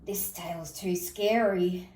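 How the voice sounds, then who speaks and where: A woman reader puts on a big bad fairy tale wolf, male and fussy. He is grumpy and exclaims in snarls. The delivery is slow, in an echoey room. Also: lowish voice, fairly even intonation, darkish tone, distant mic. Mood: disgusted. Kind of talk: children's storybook character voice.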